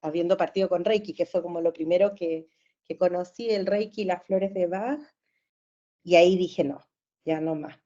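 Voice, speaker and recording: A Chilean woman in her forties, a therapist talking casually as a guest, cheerful and quick to laugh, with frequent fillers. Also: on the low side, fairly even intonation, mellow, muffled recording. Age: 40s. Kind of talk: casual conversation. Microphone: video call.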